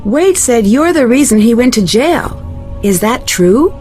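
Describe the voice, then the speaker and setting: A young female sleuth in a video game, polite and curious. Her voice is on the high side, calm and direct, with faint music in the background. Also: measured pace. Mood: probing. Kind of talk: video game character dialogue.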